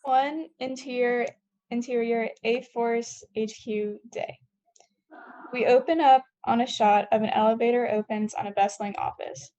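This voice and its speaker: A woman's voice: fairly high pitch, fairly even intonation, a little slow, dark tone, dull audio. A young woman reading stage directions as scripted narration at a table read.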